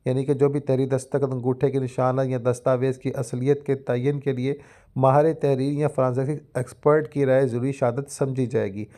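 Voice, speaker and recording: A man with a Pakistani accent delivers an explanatory law lecture in a dark, relaxed voice of medium pitch. He is formal and didactic, moving between reading out points and explaining them, with English legal terms mixed in. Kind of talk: explanatory legal lecture, part read from notes.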